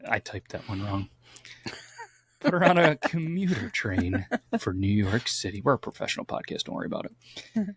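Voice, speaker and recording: A man's voice: on the low side, animated, normal speed, pauses often, a bit bright, breathy edge. A man co-hosting a casual true-crime podcast, reading from his notes with stumbles and wry asides. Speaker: podcast co-host.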